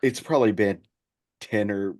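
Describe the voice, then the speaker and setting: A man hosting a casual chat, feeling his way through sentences in a halting delivery. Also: on the low side, a little mellow. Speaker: podcast host.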